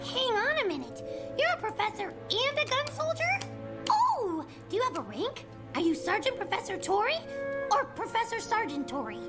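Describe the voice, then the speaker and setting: Squeaky, excitable little boy bee. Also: moderate pace. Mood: curious. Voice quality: squeaky, childlike. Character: excitable, innocent little boy bee, cheerful detective sidekick. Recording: music behind the voice.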